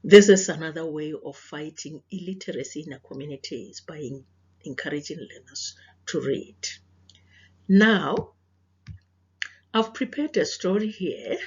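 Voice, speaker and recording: A woman with a South African accent speaking as a teacher to children, deliberate and questioning, presenting a read-aloud in a muffled recording. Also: sits low, lively intonation, darkish tone, husky.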